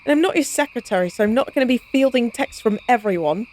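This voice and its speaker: A woman's voice: on the high side, lively intonation, quick pace, darkish tone, natural voice. A woman playing a playful, cocky, science-obsessed vampire in an intimate audio roleplay, speaking in a casual, teasing, wry way. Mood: defensive, casual.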